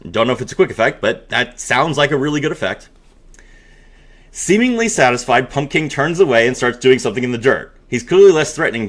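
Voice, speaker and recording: A man hosting a dramatic reading mixed with excited reaction commentary, expressive and given to amazed exclamations. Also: a little high. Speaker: host.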